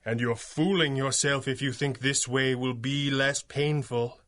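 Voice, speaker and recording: Male actor for radio-play superhero vigilante. Sharp, commanding tone, theatrical menace, articulate and righteous. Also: medium pitch, fairly even intonation, unhurried, close to an everyday voice. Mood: stern, threatening. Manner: grandiose, taunting, articulate. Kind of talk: 1930s-style superhero radio drama acting.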